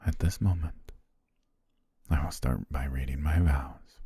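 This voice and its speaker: A very low, gravelly, husky voice: a man playing a formal, devoted butler murmuring to his princess bride, slow and hushed with a hint of quiet possessiveness. It sounds close and completely dry, like an intimate audio drama. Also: no echo, full character voice. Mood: tender, hushed. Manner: soft, formal, half-whispered delivery. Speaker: ASMR roleplay voice actor. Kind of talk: intimate ASMR roleplay monologue.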